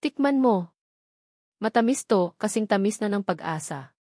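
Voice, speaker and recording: A woman with a Filipino accent voicing a gentle, forgiving young sister in an audiobook, earnest and tender. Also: medium pitch, expressive, normal speed, no room sound, clean studio sound, natural voice. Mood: warm, affectionate. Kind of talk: audiobook character dialogue.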